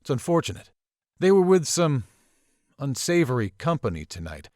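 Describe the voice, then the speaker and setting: A man playing a courteous, wry, quietly mysterious college professor in a visual novel, speaking in a theatrical, measured way. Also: medium pitch, very animated, dry recording, studio quality, lightly acted. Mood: concerned. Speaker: professor character.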